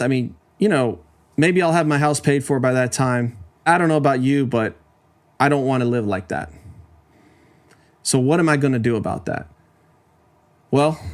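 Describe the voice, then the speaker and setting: Man, 40s, podcast host; casual explainer, conversational, rhetorical questions. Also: fairly high pitch.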